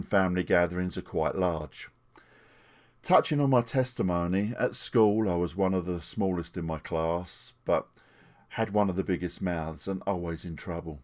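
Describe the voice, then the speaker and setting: A man's voice: low voice, darkish tone. A retired pastor in his sixties from England, telling his life story to camera in an earnest, plainspoken way. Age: 60s. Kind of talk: personal testimony to camera. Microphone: desktop microphone.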